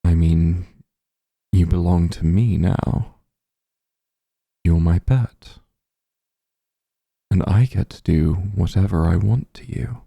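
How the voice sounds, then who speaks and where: Guy doing a demon lord asmr voice, super low and crackly, slow soft teasing, possessive but doting, close dry sound. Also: dry recording, heavily acted. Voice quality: very deep, gravelly, breathy. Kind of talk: intimate ASMR roleplay acting.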